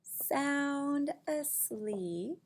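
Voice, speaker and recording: A middle-aged woman reads a picture book aloud like a preschool teacher. Her pace is slow and sing-song, with a creaky edge to the voice. She adds simple asides for little listeners. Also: sits high, animated. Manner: deliberate, sing-song reading with simple asides and counting questions. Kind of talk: picture-book read-aloud for young children. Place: small indoor room at home.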